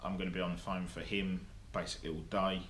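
Deep-voiced, rambling man in a workshop. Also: level tone, quick pace, a bit bright. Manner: rambling, blunt, many ums and restarts. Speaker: motorsport vlogger. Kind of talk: casual update to camera. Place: indoor workshop. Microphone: camera in front of him. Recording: echoey workshop, faint hum.

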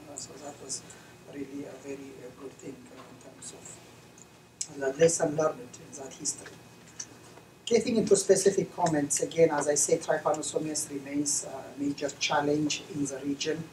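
A middle-aged man from Ethiopia, a veterinarian, giving measured, hesitant comments as a discussant at an academic conference. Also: on the high side, distant mic. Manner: measured, hesitant, many fillers. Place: lecture room. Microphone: distant camera mic. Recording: echoey room recorded from afar, noisy.

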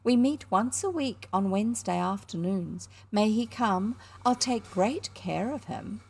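A woman's voice: mid-range voice, animated, unhurried, gravelly, darkish tone, husky, exaggerated voice. A woman voicing a gushing, condescending upper-class lady in a storybook, with a fluting, affected, cooing delivery. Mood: gushing, persuasive.

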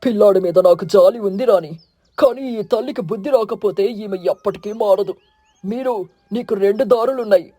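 A man playing a stern, righteous eagle who leads a village of birds in an animated moral story, speaking in a firm, commanding, scolding way. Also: high-pitched, moderate pace, no echo, soft background music. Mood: stern, authoritative.

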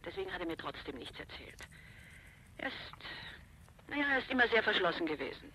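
An actress playing a curt, guarded sister who keeps house, in a German crime radio play, defensive and clipped. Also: female voice, medium pitch, very animated, fairly quick, pushed and bright, slight background noise, low-quality recording. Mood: guarded, bitter. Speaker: actor in an audio drama.

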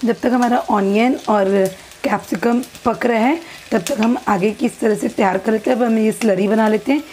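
A woman talking through a recipe step by step in her home kitchen, in an unhurried, repetitive instructional way. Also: a little high, steady tone, darkish tone, a little hiss or hum. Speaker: cooking channel host. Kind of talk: step-by-step cooking demonstration. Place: home kitchen at a gas stove.

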